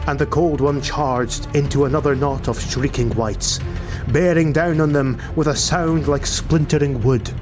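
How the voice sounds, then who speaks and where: A man narrating a fantasy audiobook in a measured, theatrical, dramatic style. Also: mid-range voice. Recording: music behind the voice. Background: music.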